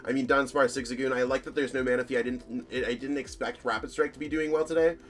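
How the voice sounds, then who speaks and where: A man with a slightly hoarse voice, hosting a casual, rambling commentary over card game deck lists, full of fillers and self-corrections. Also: medium pitch, steady tone, quick pace, fairly bright tone.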